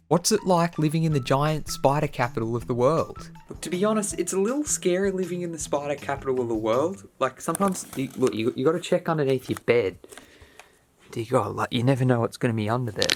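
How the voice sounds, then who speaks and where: A young Australian man gives a casual, playful Q&A to camera in a mid-pitched voice. He talks informally, like a YouTuber addressing his followers, with faint music behind him. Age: early 20s. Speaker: YouTuber answering viewer questions. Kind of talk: casual Q&A video chat.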